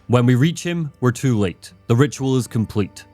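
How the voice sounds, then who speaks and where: A man's voice: medium pitch, expressive, normal speed, music in the background. A man giving scripted video essay narration in a wry, opinionated way.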